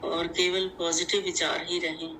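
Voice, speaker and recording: A woman leads a guided meditation in measured, deliberate, instructive short phrases. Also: lowish voice, little pitch movement, pushed and bright, a little hiss or hum, poor audio.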